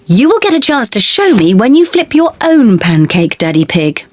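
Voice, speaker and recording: A woman's voice: a little high, sing-song, moderate pace, a little mellow, a little hiss or hum. A woman playing a cheerful mother pig in a children's cartoon.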